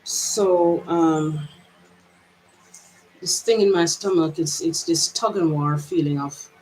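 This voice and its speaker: A woman's voice: low voice, slow pace, darkish tone, a little hiss or hum, low-quality recording. A woman from Jamaica with a mixed Jamaican and American accent, giving a reflective personal vlog monologue in a hesitant, searching way with many restarts. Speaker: vlogger.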